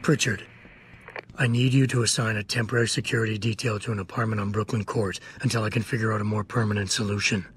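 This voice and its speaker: An actor playing a guarded, gruff investigator hero in a video game, terse and restrained but earnest. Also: male voice, on the low side, flat delivery, fast, close to an everyday voice. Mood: businesslike, guarded.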